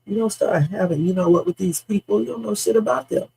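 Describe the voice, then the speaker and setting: A woman in her fifties talks off the cuff on a livestream with a gravelly edge and a husky, rough texture. She is blunt and salty and drifts from story to story before landing on advice. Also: mid-range voice, fast talker, warm tone, low-quality recording. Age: 50s. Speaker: livestream host. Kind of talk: rambling casual livestream chat.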